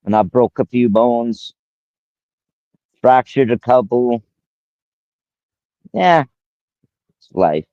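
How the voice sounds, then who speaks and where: A man's voice: medium pitch, expressive, extremely slow, long pauses, warm tone, no echo. Man, 40s, rambling livestreamer who repeats himself; dead dry, muffled lo-fi sound. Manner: rambling, addresses a viewer by name, repeats himself. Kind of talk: casual livestream talk.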